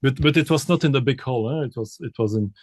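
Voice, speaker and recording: Belgian composer guy, keeps restarting sentences, dark soft voice. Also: medium pitch, quick pace, no echo. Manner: hesitant, many repeated words and restarts. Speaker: composer and pianist, interview guest. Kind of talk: casual interview answers.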